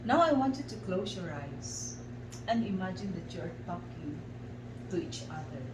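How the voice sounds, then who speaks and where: A woman with a Filipino accent leads a guided expressive arts lesson as facilitator, speaking deliberately with measured pauses. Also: on the low side. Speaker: workshop facilitator and teacher. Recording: echoey, distant microphone, loud background noise.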